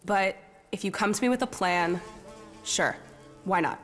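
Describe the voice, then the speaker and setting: A woman's voice: mid-range voice, unhurried, close to an everyday voice. Brash female mercenary in heavy reverb voiced by an actress. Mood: cocky, blunt. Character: brash, cynical female mercenary hungry for challenge. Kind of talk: video game character dialogue. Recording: long reverb, music underneath.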